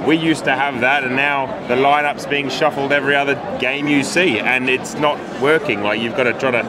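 Candid rugby fan outside a stadium. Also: male voice, mid-range voice, steady tone, brisk, noisy background. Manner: candid, rambling with many fillers. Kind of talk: casual post-match chat. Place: outside a stadium at night, large crowd. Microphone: handheld mic held by interviewer. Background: loud crowd.

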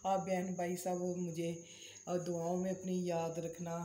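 A Pakistani woman talks casually to her vlog viewers in a homely, pious, repetitive way. Her voice is mellow and fairly even in melody. The room adds clear echo. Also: lowish voice, measured pace. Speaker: vlogger. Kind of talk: casual home vlog chat. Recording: roomy echo, faint background hiss.

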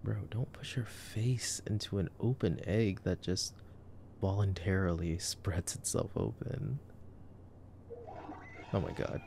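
Young male, deep and heavily creaky, for sarcastic ASMR boyfriend movie commentary; slangy, understated. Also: slow, long pauses, warm tone, a little hiss or hum. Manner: sarcastic, slangy, low-key. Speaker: ASMR roleplay performer.

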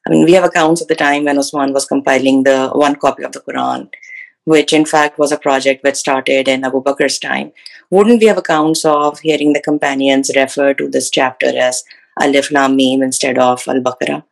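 A woman's voice: very deep voice, fairly even intonation, moderate pace, creaky. A woman, a student, asking the lecturer a long question, hesitant and full of "you know" and "um".

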